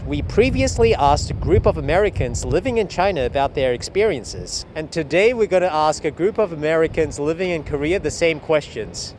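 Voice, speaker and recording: A man's voice: on the high side, darkish tone. A man presenting a scripted introduction as the host of a street interview show. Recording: faint street traffic noise.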